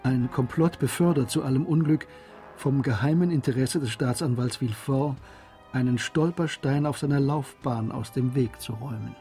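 A man narrating a historical radio drama as a composed storyteller, in a measured, literary manner. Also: mid-range voice, mellow, soft background music, close to an everyday voice. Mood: grave, measured.

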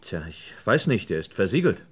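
Low-voiced, courteous, earnest young man. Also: very animated, moderate pace, a little hiss or hum. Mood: polite, earnest. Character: earnest, polite young man, son on an errand. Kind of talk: German radio crime play acting.

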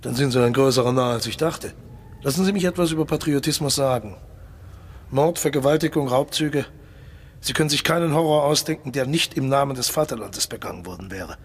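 An actor playing a suave, cynical mercenary colonel and old-hand spy in a radio play, polite but insistent, condescending and menacing. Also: male voice, medium pitch, brisk, breathy edge. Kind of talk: German radio play acting.

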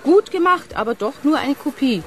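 Sarcastic woman with animated delivery. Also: high-pitched, moderate pace, soft background music. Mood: scornful. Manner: biting, sarcastic, emphatic. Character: sharp-tongued, sarcastic woman gallery owner, angry and unintimidated. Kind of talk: German sci-fi radio drama acting.

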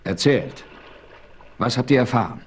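A man's voice: mid-range voice, animated, a little slow, husky. An actor playing a resolute, commanding Western adventurer who narrates his story in a 1970s radio play. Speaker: narrator and lead character. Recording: faintly noisy old radio play recording.

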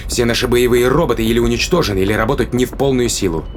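A man's voice: medium pitch, very fast, slight background noise, close to an everyday voice. An actor playing a determined, risk-taking man in an audio drama, arguing insistently and persuasively with rhetorical questions. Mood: insistent, fervent. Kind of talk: dramatic audio play acting.

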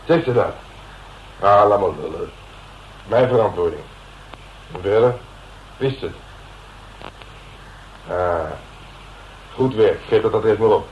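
An actor playing a cocky, hard-boiled police inspector in a radio drama, bossy and colloquial, with a self-satisfied way of talking. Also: male voice, fairly low pitch, takes it slowly, long pauses, dull audio, a little hiss or hum. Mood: brisk, commanding. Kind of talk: Dutch radio drama acting.

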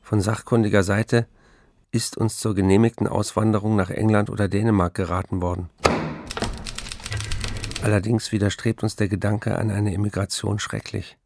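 Gravelly, troubled male writer. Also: on the low side, level tone, normal speed, darkish tone, no echo. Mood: weary, reluctant. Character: troubled, remorseful male writer reading his own letters. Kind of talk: radio play monologue.

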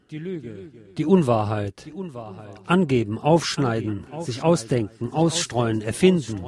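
Slow declaiming man with crowd behind. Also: mid-range voice. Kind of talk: declaimed spoken-word recitation of word lists.

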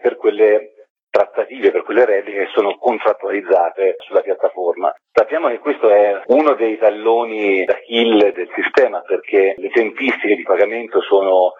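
Low-voiced man on a muffled phone line. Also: moderate pace, slightly rough. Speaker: guest, theatre company artistic director. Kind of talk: interview explanation of a project. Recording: muffled, narrow telephone line into radio.

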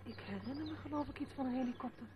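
A woman's voice: sits high, rapid-fire, muffled recording, lightly acted. A woman playing a skeptical, strong-willed companion in a radio drama, plain-spoken and doubting. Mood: doubtful, quiet. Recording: noisy old radio play recording.

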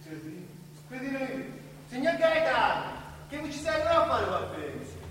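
Heard from afar in a heavily echoing hall, a man acts an angry young son from a Florentine household on stage. He is sneering and quick to confront, with a very high-pitched male voice and a strong Tuscan accent. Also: sing-song, a bit bright, exaggerated voice. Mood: sneering, hostile. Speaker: stage actor. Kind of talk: live stage drama acting. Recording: very echoey noisy hall from far off.

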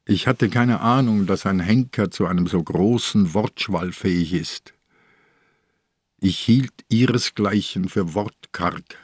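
A defiant old detective of radio crime drama. His voice is deep and unhurried, with dry sarcasm. The recording is close, without any room sound. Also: breathy edge, no echo. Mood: defiant, sardonic. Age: older. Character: old police commissioner, defiant, sardonic, captive.

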